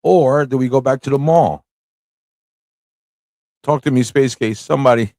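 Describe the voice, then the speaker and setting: Male streamer, mid-pitched voice, informal, playful, thinking aloud, dry close recording. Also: normal speed, a little mellow, dry recording. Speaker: livestream host playing an interactive story. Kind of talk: casual livestream chat with viewers.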